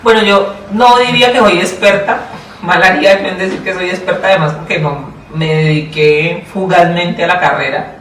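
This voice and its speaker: A woman with a Colombian accent answering interview questions in a thoughtful, deliberate way, often repeating and rephrasing herself. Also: fairly low pitch, fairly even intonation, fairly bright tone. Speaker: interview guest, public education official. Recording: roomy, distant mic, faint noise.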